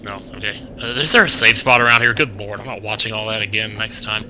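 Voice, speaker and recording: Low-voiced American guy doing game commentary. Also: steady tone, fast talker, sharp tone, a little hiss or hum, poor audio. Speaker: gaming video host. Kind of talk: casual let's play commentary. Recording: game music behind the voice. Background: video game music.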